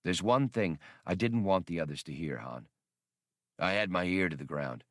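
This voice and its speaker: A male narrator plays a veteran space adventurer in an audiobook. His voice is low and dry, and he talks in slang like a wry, confiding old comrade. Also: a bit bright, no echo.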